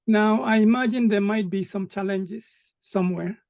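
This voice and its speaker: A man hosting an interview, asking short questions calmly and acknowledging answers with frequent murmurs. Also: high-pitched, normal speed, gravelly, dry recording. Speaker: interview host.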